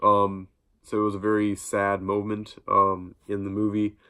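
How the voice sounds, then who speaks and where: A young man talks to his viewers with a congested, stuffed-up nose from a cold. His voice sits low and barely moves in pitch. He wanders through his thoughts with frequent ums and false starts. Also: completely flat delivery, moderate pace, pushed and bright, smooth. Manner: rambling, many ums and restarts. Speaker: YouTube vlogger. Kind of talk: casual reaction vlog talk.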